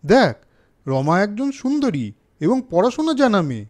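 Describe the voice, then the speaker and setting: A man's voice: sits high, very animated, moderate pace, a little mellow. A man voicing a sensitive, earnest young elder brother in a cartoon story, speaking emphatically. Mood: indignant.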